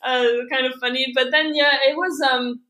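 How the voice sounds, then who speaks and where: A woman's voice: high voice, steady tone, normal speed, pushed and bright. A woman from Europe, a podcast guest telling her personal story in an open way, laughing often between fillers and restarts. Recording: echoey room.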